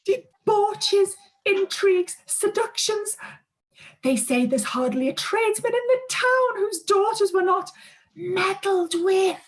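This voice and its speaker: A woman's voice: very high-pitched, lively intonation, takes it slowly, exaggerated voice, rough recording. A woman playing a gossipy middle-aged aunt in a period radio play, scandalised and relishing each word.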